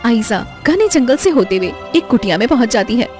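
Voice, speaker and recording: A woman's voice: fairly high pitch, lively intonation, brisk, darkish tone. A woman tells a children's cartoon story as narrator. Her scripted narration sits over faint background music.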